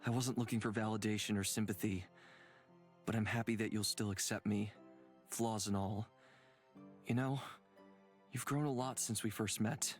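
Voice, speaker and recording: An actor playing a gentle, reflective man weighed down by guilt, speaking in a measured, earnest way for a visual novel game. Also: on the low side, steady tone, faint music behind, natural voice. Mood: tender.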